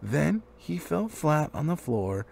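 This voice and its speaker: A man reading a fantasy storybook aloud as an amateur narrator, in an animated storytelling style. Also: a little high, slow pace, vocal fry, slight background noise. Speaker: amateur book reader.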